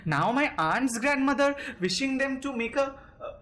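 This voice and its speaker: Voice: sits high, animated, moderate pace, fairly bright tone, a little hiss or hum. A young student actor with an Indian accent playing a nervous, fussy landowner suitor in an amateur audio drama, stammering and formal. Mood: insistent. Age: young adult.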